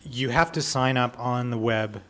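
A man's voice: mid-range voice, a little slow, a bit bright, slight background noise. Man hosting a casual interview. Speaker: podcast interviewer, co-host.

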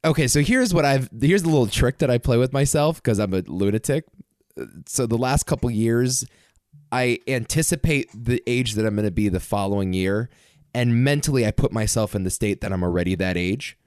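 A man's voice: medium pitch, expressive, a little fast, darkish tone, no echo, studio quality. A man in his twenties chatting casually as a podcast co-host, anxious and rambling. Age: 20s. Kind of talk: casual chat.

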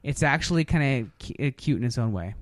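A man's voice: medium pitch, animated, fast, no echo. A man hosting a podcast, chatting casually about movies in a bantering, profane way. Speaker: podcast host.

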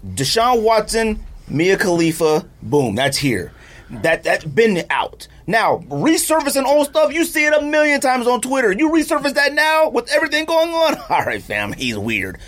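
A man's voice: very high voice, sing-song, normal speed, fairly bright tone. A man co-hosting a podcast in casual, argumentative chat, interrupting often and laughing a lot. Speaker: podcast co-host.

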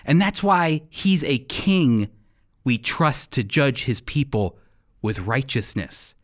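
An American man teaching the Bible like a radio preacher, with emphatic, deliberate phrasing and rhetorical questions. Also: mid-range voice, very animated. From United States. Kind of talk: sermon-style Bible teaching with scripture reading.

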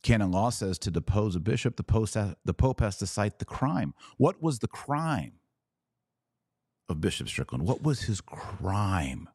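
A man's voice: on the low side, big pitch swings, a little slow, a little mellow, slightly rough, no echo, studio quality. A man hosting a religious commentary podcast, speaking emphatically with rhetorical questions and repeated phrases for stress. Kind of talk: impassioned religious commentary to camera.

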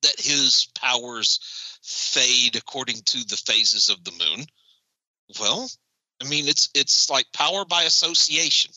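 Bright animated man in a dry room. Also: medium pitch, slow pace, no room sound, low-quality recording. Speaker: podcast co-host. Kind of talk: casual chat about a TV episode.

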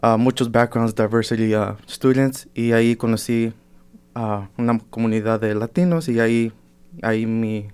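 A young man student talks loosely in a group conversation, his voice clear and smooth. He drifts between languages mid-sentence in an easy, informal way. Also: mid-range voice, moderate pace, a little mellow. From United States. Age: young adult. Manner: casual, switches into English mid-sentence. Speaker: university student. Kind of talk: casual group discussion.

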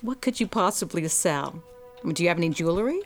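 An actress playing a kindly, practical neighbor in a radio drama, soothing and gently reasoning with a worried friend. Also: female voice, fairly low pitch, expressive, brisk, faint music behind. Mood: gently amused, practical. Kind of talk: stage-style radio drama acting.

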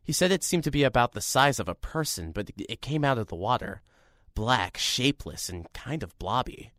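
A man's voice: mid-range voice, animated, moderate pace, a bit bright, no echo, lightly acted. A young man narrating an audio drama in first person as a reporter, dry and matter-of-fact. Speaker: narrator and lead character, a young radio reporter.

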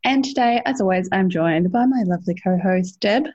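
A woman's voice: medium pitch, brisk, darkish tone, muffled recording. A woman hosting a podcast in casual, chatty conversation, laughing often. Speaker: podcast host.

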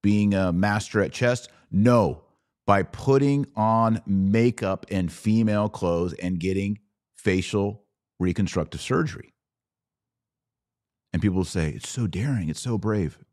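A middle-aged American man with a fairly low voice and a very slow, measured pace. He argues like a commentator, pressing points emphatically and posing rhetorical questions. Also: a little mellow. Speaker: podcast host. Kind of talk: opinion commentary on played clips.

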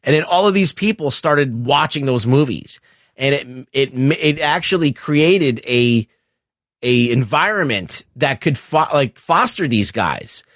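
A middle-aged man co-hosting a casual film discussion, explaining things in a halting, profane way. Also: medium pitch, expressive, a bit bright, no room sound, clean studio sound. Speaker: podcast co-host.

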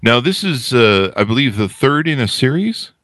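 A man's voice: mid-range voice, big pitch swings, moderate pace, a bit bright, no echo, studio quality. A man hosting a podcast in a casual, jokey, rambling way with a playful, self-deprecating manner. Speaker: podcast host.

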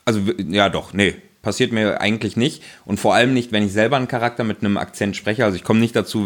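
A man co-hosting a podcast, talking casually and rambling with lots of fillers and restarts. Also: fairly low pitch. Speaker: podcast co-host. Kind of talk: casual chat.

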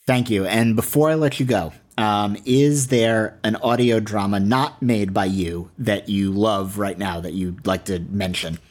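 Lowish-voiced man hosting an interview. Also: measured pace, few pauses. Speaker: podcast interviewer, host.

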